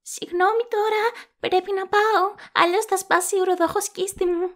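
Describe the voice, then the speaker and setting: A woman's voice: extremely high pitch, steady tone. A woman playing a caring, frank young girl in an audio drama, with a matter-of-fact way of talking. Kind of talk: Greek amateur audio drama acting.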